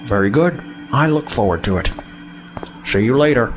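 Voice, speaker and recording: An actor playing a slippery mercenary trader in an audio drama, glib and falsely courteous. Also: male voice, mid-range voice, animated, normal speed, over music, close to an everyday voice. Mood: falsely cordial. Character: slippery mercenary male trader, breezy and self-serving.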